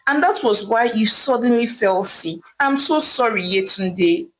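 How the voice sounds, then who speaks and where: Woman playing a weary mother, folktale, grave confessional manner, fairly high expressive voice, light music behind. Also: a little slow, poor audio. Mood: confessing, apologetic. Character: weary, secretive, resigned widowed mother.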